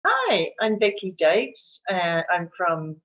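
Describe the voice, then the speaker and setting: A woman's voice: lowish voice, huge pitch swings, slow, dull audio. A woman from Alberta, Canada, giving a casual, hesitant self-introduction as a cast member.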